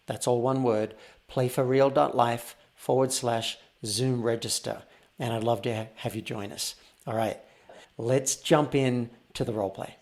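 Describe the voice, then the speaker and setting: A man speaking as a podcast host and coach, explaining things in a measured, conversational way. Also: medium pitch, a little mellow. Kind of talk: conversational coaching and show introduction.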